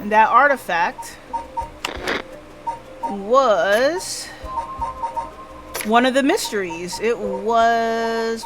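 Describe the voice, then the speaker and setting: Female game streamer, higher register, slow-paced and informal, talking through the story aloud over background music. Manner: informal, thinks aloud, checks in with listeners. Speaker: livestreamer. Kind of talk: casual game stream recap. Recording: music playing behind the voice.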